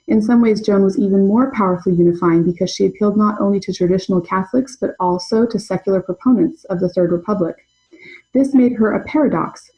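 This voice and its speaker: A young adult woman presenting an academic paper in a measured, scholarly way. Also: medium pitch, mellow, poor audio. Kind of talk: reading a prepared conference paper.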